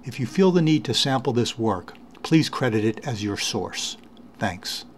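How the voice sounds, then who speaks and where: A man's voice: medium pitch, lively intonation, a little slow, slight background noise. A man giving a spoken introduction as the creator of an audio collage.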